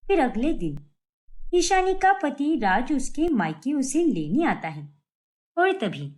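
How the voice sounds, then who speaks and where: A woman's voice: high-pitched, very animated, slow pace, darkish tone. A woman narrating a scripted family story as a storyteller.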